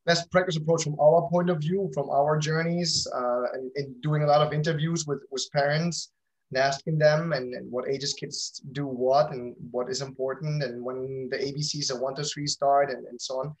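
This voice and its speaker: A man's voice: on the high side, steady tone, normal speed, poor audio. A man speaking as an interview guest about his own company in casual talk, often repeating words as he thinks.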